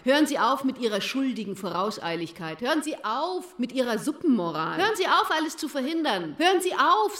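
A woman's voice: fairly high pitch, fairly bright tone. A woman acting in a German radio drama as an indignant, commanding speaker who harangues with emphatic insistence. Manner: emphatic, insistent, rhetorical repetition. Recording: roomy echo.

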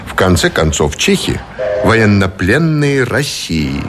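Embittered commander in a radio drama, deep swooping voice, bit of hiss. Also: male voice, big pitch swings, slow pace. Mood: indignant. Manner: authoritative, commanding. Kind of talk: Russian radio drama acting.

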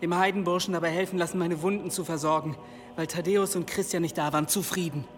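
An actor playing a pained, evasive monk in a historical radio drama, curt and reluctant. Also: male voice, high-pitched, fairly even intonation, fast talker, close to an everyday voice. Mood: pained, irritated. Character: pained, evasive young monk, tired of questions. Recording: long reverb of stone cloister.

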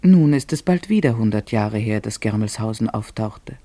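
A man's voice: fairly low pitch, lively intonation, normal speed, dark tone, no room sound. A man narrating a literary radio drama in German, reading scripted storytelling prose.

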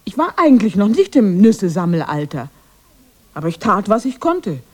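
A woman's voice: mid-range voice, sing-song, moderate pace, darkish tone. An actress playing a lonely, misunderstood witch of the woods in a radio drama, defending herself in a plaintive way. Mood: wistful, self-justifying. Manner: plaintive, self-justifying.

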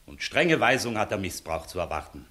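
A dignified, animated court inspector. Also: male voice, sits low, heavily acted. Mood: courteous, official. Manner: formal, measured, official. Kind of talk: 1960s German radio play acting, classical stage.

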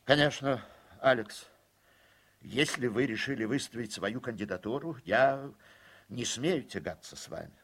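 Slow-spoken, expressive earnest judge. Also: male voice, medium pitch, husky, exaggerated voice. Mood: earnest, deferential. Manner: deliberate, respectful, guarded. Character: earnest, deferential male judge with political ambitions. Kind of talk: Soviet-style radio play acting.

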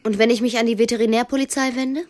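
An actress playing a young, rich, determined wife in a radio drama, speaking in an earnest, pleading way. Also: female voice, on the high side, fairly even intonation, fast talker, a little mellow, no room sound, clean studio sound, natural voice. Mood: urgent, insistent. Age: young adult.

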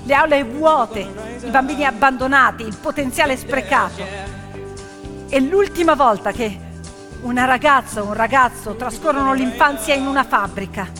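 A woman performing a theatrical monologue as a brave, impassioned teenage activist girl, speaking in deliberate, emphatic phrases. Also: high-pitched, voice pushed up, close to an everyday voice. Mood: impassioned rallying. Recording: long hall reverb, piano music behind.

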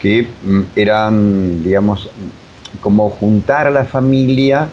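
An older man with an Argentine accent reminiscing in a casual interview, halting and repeating himself. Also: fairly low pitch, steady tone. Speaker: radio drama director, interview guest. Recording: dull remote sound with faint noise.

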